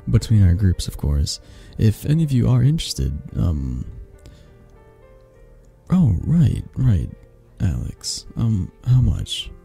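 Guy doing a possessive flirty mafia boss for asmr roleplay, low gravelly voice, condescending, long pauses. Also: very animated, dark tone, exaggerated voice. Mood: smooth, businesslike. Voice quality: husky, gravelly. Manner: slow, intimate, condescending, with drawn-out pauses. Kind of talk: romantic ASMR audio roleplay. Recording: music behind the voice. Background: background music.

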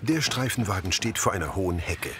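Low-voiced male narrator with lively intonation. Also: brisk, background noise. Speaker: audio description narrator. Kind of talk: scripted descriptive narration.

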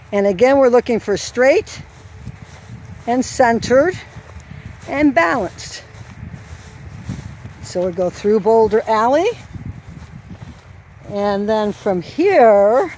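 Lady filming on her phone outside, walking the course, explaining slowly, fixes her own slips. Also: on the high side, darkish tone, dull audio. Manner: unhurried, walking while explaining, stops to correct herself. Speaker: course designer giving a walk-through. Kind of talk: instructional course walk-through. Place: outdoor horse trail course. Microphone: handheld phone camera. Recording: faint outdoor noise, sometimes far from the mic.

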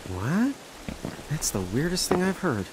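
A woman's voice: low-pitched, very animated, unhurried, darkish tone, slight background noise, rough recording. A woman voicing an easygoing, flippant teenage twin brother in a video game fandub, casual and teasing. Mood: bemused.

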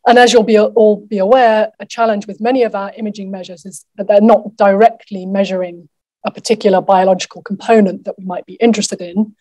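Female academic keynote speaker, middle-aged, medium pitch, measured explanatory lecture delivery. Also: level tone, darkish tone, low-quality recording. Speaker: keynote speaker, neuroscience researcher. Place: lecture theatre, at a lectern.

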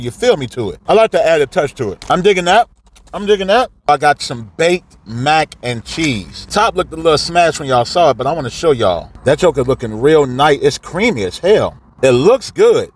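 Animated man with a highish voice. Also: fairly quick. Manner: animated, slangy, profane, talking while eating. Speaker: food review vlogger. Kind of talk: casual food review to camera. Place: inside a parked car. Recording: clear background noise in a car.

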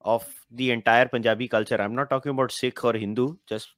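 A man's voice: mid-range voice, steady tone, fairly quick, a bit bright, no room sound, studio quality. A young man with an Indian accent, a podcast host who states blunt opinions and reads book passages aloud, mixing English and Hindi. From Mumbai, India. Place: room with bookshelves. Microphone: headphones and studio mic.

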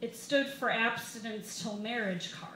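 A young American woman reading a comic personal essay to a live audience, with wry, deliberate emphasis. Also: on the high side, a loud crowd. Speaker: stand-up comedian reading an essay. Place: small brick-walled bar, indoors. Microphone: stand microphone, camera recording. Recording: roomy live bar recording, some background noise.

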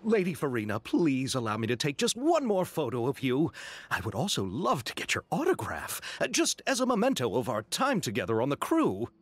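An actor playing an excitable, flattering film director and photographer in a game, effusive and grandiose. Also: male voice, medium pitch, a little fast, no echo. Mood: eager, respectful. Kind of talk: English game voice acting, theatrical.

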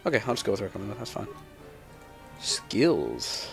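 A young male gamer talks casually over his game. His voice is low and creaky, with music behind it. He rambles irreverently and swears freely. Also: wildly swooping pitch, moderate pace. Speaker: gamer, let's player. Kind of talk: casual gameplay commentary.

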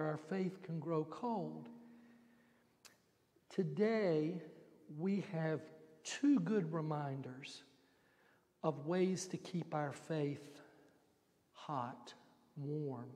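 An older man, a pastor, giving a gentle, folksy children's sermon from the front of a church sanctuary on a handheld mic. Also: fairly high pitch, slow, long pauses. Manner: gentle, folksy storytelling with homely examples. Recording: long church reverb.

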